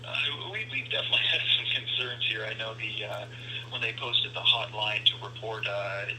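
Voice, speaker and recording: A man's voice: lowish voice, normal speed, bright, forceful tone, slight background noise, poor audio. A man from a safety agency answering a caller's questions on the phone while searching for documents. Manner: searching aloud while scrolling, apologetic. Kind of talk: phone call.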